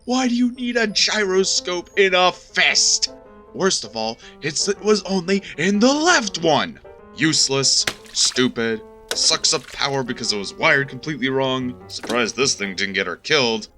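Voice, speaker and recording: Blustering male engineer for radio play, man acting, very sharp edge, swooping delivery, scornful and gruff. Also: on the high side, very animated, unhurried, soft background music, heavily acted. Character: gruff, vain, ranting male ship's engineer. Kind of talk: audio drama acting.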